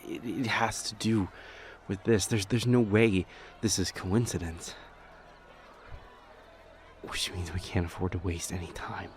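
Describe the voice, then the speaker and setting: Low-voiced, shaken demon lover, acted by a man. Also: a little hiss or hum. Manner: halting, stammering, breathy urgent delivery. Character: shaken, devoted male demon lover facing disaster. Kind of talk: intense dramatic audio roleplay acting.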